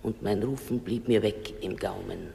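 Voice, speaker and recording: An actress playing a grief-stricken mother of a fallen soldier, halting and hushed in a radio play. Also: a bass voice, creaky, exaggerated voice. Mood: grieving. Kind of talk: theatrical German radio play acting. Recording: long hall-like reverb.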